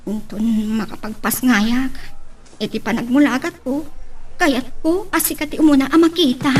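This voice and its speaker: A woman's voice: high-pitched, moderate pace, darkish tone, faint music behind, lightly acted. A woman with a Filipino accent playing a tender, anxious young pregnant wife in an audio melodrama. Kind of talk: Filipino radio-style melodrama acting.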